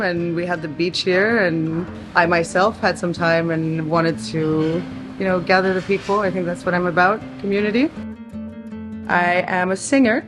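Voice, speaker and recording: A woman from France, a DJ and singer, talking easily in a casual interview and laughing often. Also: fairly low pitch. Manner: easygoing, chatty, laughs often. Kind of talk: casual chat.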